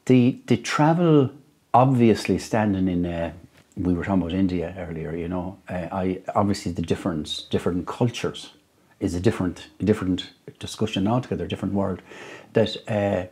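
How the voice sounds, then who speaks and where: A man with an Irish accent and a very low, dark, mellow voice. He answers interview questions slowly and reflectively, pausing often and circling back over his own words. He is speaking at his home. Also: breathy edge. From Ireland. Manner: slow, thoughtful, halting with many restarts. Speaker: musician and storyteller.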